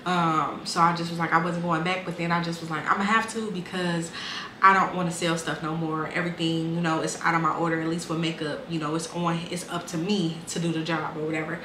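A woman's voice: fairly low pitch, flat delivery, brisk, sharp tone, roomy sound, a little hiss or hum. A woman in her twenties casually and candidly telling her life story, with lots of "um" and "like". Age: 20s. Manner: candid, conversational, frequent fillers and restarts.

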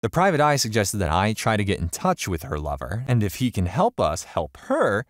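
A man reading a first-person online story aloud, telling it in an animated way. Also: no echo, studio quality. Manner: animated storytelling with wide pitch swings. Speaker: story narrator.

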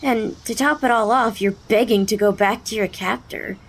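A woman's voice: medium pitch, normal speed, lightly acted, low-quality recording. A young woman playing a concerned, frank confidante in an audio drama, with a firm, reasoning delivery. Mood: firm, worried. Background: music.

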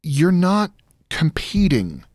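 A man's voice: a little high, wildly swooping pitch, slow pace, darkish tone, dry recording. Earnest man, close dry studio sound. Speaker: podcast guest, audio drama creator. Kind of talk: earnest conversational interview. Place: small podcast studio, indoors. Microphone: close studio microphone with headphones.

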